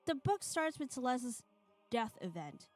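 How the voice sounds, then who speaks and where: A woman with a fairly high voice hosts a livestream, chatting casually and reading visual novel narration aloud with animation. Game music plays behind her voice. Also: sing-song, a little slow. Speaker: streamer.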